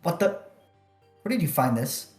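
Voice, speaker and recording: A woman's voice: low voice, very animated, moderate pace, a little mellow, soft background music. A woman in an amateur anime fan dub playing a suspicious, hot-tempered teenager, recorded in an echoey room. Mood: accusing.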